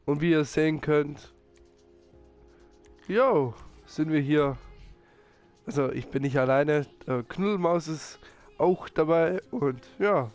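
A man with a slightly high voice and a head cold talks loosely over gameplay. He gets excitable and shouts when things go wrong. Game music sits faintly underneath. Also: takes it slowly, pauses often. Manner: excitable, yells at mishaps. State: has a cold. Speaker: gaming channel host. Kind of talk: casual let's-play chat.

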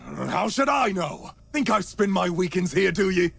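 Gravelly, surly hired henchman. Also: high-pitched, sing-song, normal speed, fairly bright tone, no echo, full character voice. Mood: sarcastic. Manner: sarcastic, curt. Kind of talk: video game cutscene acting.

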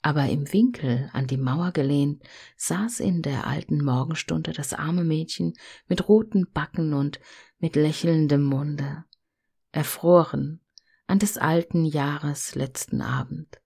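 A woman storyteller reading a fairy tale in an expressive, gentle and hushed manner. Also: low-pitched, takes it slowly, creaky, husky.

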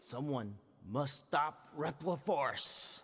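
A man's voice: a little high, lively intonation, slow pace, fairly bright tone, heavily acted. A man voicing an intense, brooding young android warrior in a game cutscene, dramatic and strained. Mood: stern, determined. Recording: reverberant, noisy cutscene audio.

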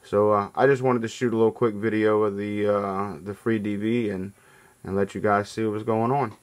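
A man giving a casual hands-on demonstration as a radio hobbyist, explaining informally and haltingly from behind a handheld camera indoors. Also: lowish voice, fairly even intonation. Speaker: amateur radio hobbyist making a demo video. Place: small indoor room at home. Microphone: handheld camera, off-screen behind it. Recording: faint noise and hum.

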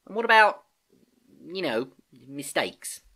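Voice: mid-range voice, big pitch swings, takes it slowly, pushed and bright, voice pushed up, heavily acted. A storybook reader voicing an earnest, curious schoolgirl niece, eager and questioning, easily exasperated. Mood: anxious.

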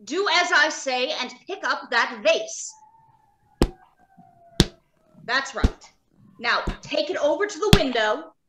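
A woman playing an earnest, uneasy doctor of robot science in a radio drama, deliberate and emphatic. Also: high voice, animated, noisy background. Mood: commanding. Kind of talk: old-time radio drama acting. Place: home, remote video call.

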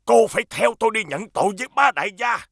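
A man voicing an elderly, fussy village gardener in fear of his master, in an animated fairy tale. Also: high-pitched, quick pace, gravelly, no room sound, studio quality, full character voice. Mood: scolding. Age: older. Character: elderly village gardener, anxious servant of a tyrant.

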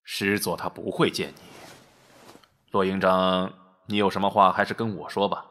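An actor playing a menacing military interrogator in an audio drama, mockingly polite and insinuating. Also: male voice, deep voice, steady tone, normal speed, a bit bright, a little hiss or hum. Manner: mock-courteous, insinuating. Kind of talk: Chinese audio drama acting.

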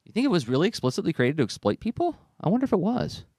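A man's voice: sits high, wildly swooping pitch, very fast, darkish tone, dry recording. A man, hosting a conversational podcast discussion into a close studio microphone. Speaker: podcast host. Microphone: close studio microphone on an arm, with headphones.

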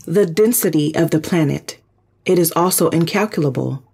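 A spaceship's artificial intelligence, voiced by an actor in a sci-fi audio drama. The voice is mid-pitched and slightly husky, delivering reports in a calm, formal, precise way. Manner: formal, measured, literal.

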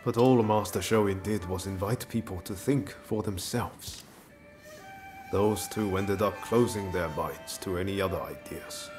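Earnest low-voiced young samurai. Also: male voice, a little slow, music in the background. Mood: reflective. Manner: earnest, reflective delivery. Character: earnest, loyal young samurai, grateful and resolute. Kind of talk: video game cutscene acting.

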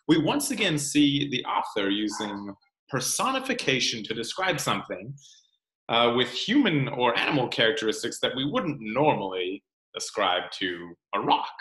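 A man in his thirties reading a children's picture book aloud in a deliberate, explanatory way, with dramatic emphasis on key words. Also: medium pitch, sharp tone. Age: 30s. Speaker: read-aloud presenter. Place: small room at home, indoors. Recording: roomy home recording.

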